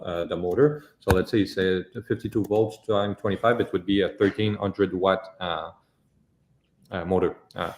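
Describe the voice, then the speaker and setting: Low-voiced French-accented man, echoey room. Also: a little hiss or hum. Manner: informal, explains step by step. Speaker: company host answering viewer questions. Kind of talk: casual live Q&A, technical explanation.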